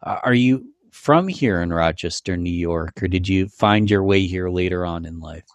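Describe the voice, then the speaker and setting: A man's voice: low-pitched, normal speed, no room sound. A man hosting an interview, asking friendly, encouraging questions with a few stumbles. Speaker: podcast host.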